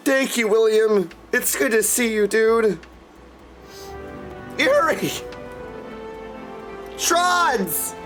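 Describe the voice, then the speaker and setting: A man's voice: sits high, expressive, very slow, pauses often, fairly bright tone, soft background music. A man hosting a casual live stream, chatting to his viewers in an effusive, gushing way and calling them dudes. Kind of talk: casual livestream chat to viewers.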